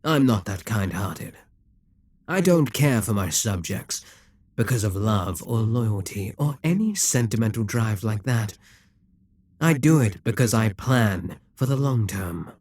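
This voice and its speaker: A man voicing an immortal, scheming and possessive demon king in an audio roleplay, speaking in a measured, persuasive way with quiet whispered asides. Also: on the low side, expressive, dry recording. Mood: cold, scheming.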